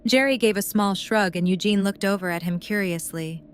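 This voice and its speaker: A woman's voice: mid-range voice, moderate pace, slight background noise. A woman reading scripted story narration as the narrator of an audio drama.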